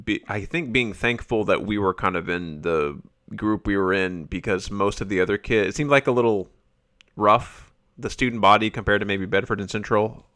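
A chatty American podcast host in his forties with a fairly low voice. He talks casually, as if catching up and reminiscing with someone he knows. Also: normal speed, a bit bright. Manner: chatty, reminiscing, chuckles often. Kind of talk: casual conversational interview.

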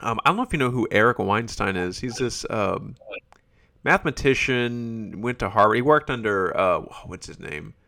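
An American man chatting casually as a podcast guest, often halting as he searches for words. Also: lowish voice, animated, creaky, pushed and bright, no room sound, clean studio sound. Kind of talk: casual chat.

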